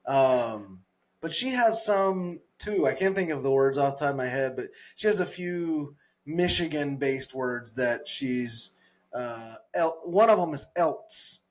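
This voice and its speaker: Animated Southern-accented man, podcast co-host. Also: mid-range voice, measured pace. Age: adult. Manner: animated, laughs often, trails off searching for words. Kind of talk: casual chat.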